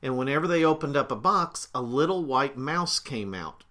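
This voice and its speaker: A middle-aged man hosting a podcast as a therapist, explaining ideas in a relaxed way while slightly congested with a cold, clearing his throat now and then. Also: medium pitch. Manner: unhurried, with fillers and frequent throat-clearing. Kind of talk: casual explanatory talk.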